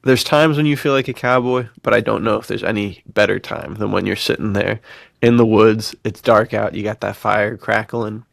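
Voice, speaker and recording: A man with a fairly low voice and plenty of fry, recorded close and dry. He co-hosts a podcast, swapping stories casually with easygoing slang and real enthusiasm. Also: steady tone, normal speed, no echo. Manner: enthusiastic, laid-back slang, frequent chuckling. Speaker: podcast co-host. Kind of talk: casual podcast chat, storytelling.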